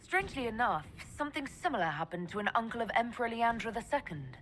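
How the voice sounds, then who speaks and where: A woman playing a sharp, dry, authoritative elven mage leader in a video game. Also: on the high side, big pitch swings, fast talker, a little hiss or hum. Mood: commanding. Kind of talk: scripted video game dialogue.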